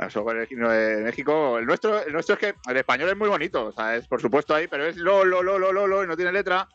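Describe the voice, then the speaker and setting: Fast-talking Spanish man, bright high-set voice. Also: expressive, highs cut off. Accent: Spanish from Spain. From Spain. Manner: colloquial, chatty, repeats himself. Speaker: football commentator and co-host. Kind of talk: casual match chat and live commentary.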